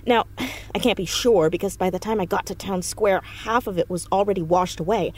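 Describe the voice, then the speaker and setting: A woman's voice: mid-range voice, fairly quick, darkish tone, close to an everyday voice. A woman acting a confident, precocious fourteen-year-old American girl detective in an audio drama, earnest and articulate. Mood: intent, confident. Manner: earnest, articulate, rattles off deductions in long sentences.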